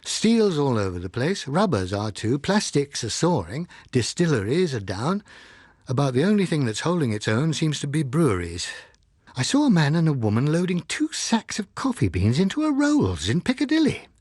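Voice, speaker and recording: Man reading as an English husband, calm, wry, conversational, husky, flexible pitch, audiobook dialogue. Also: moderate pace, no room sound, clean studio sound. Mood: wry chat.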